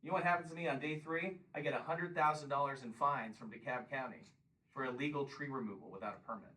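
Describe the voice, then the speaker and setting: A man's voice: medium pitch, quick pace, bright, forceful tone, distant mic, low-quality recording. A man in his fifties giving a combative campaign speech and taking questions at a local meeting, often pressing "Okay?". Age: 50s. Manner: combative, emphatic, repeats "Okay?". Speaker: congressional candidate.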